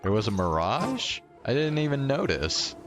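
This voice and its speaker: A man's voice: mid-range voice, huge pitch swings, normal speed. A man playing a video game and commentating casually, muttering slangy, exasperated remarks to himself. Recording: game music behind the voice.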